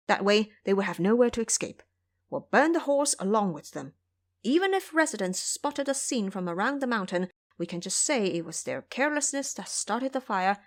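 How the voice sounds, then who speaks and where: Woman acting a cunning young monk strategist, expressive. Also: medium pitch, lightly acted. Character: calculating cunning junior male monk strategist.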